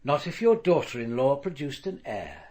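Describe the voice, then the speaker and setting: A stern police commander in a radio play. His voice is husky and a little high, swinging widely in pitch as he probes and accuses. The sound is dry and close. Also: huge pitch swings, normal speed, a little mellow, no echo. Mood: stern, accusing. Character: stern male police commander, probing and reflective. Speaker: actor playing the lead detective and narrator. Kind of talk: radio drama acting and narration.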